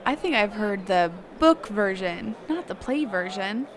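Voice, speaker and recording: A woman playing a sheltered, curious mage teacher in a conversational roleplay audio drama, halting and often correcting herself. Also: mid-range voice, lively intonation, crowd noise, lightly acted. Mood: flustered, curious. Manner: halting, hesitant, trailing off and self-correcting.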